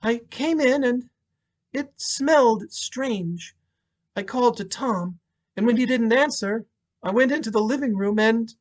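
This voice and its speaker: A man reading a novel aloud, voicing a shaken, hesitant newly widowed woman in halting phrases. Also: very high voice, pitched above the performer's own voice. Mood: dazed. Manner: halting, faltering. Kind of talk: audiobook-style dramatic reading.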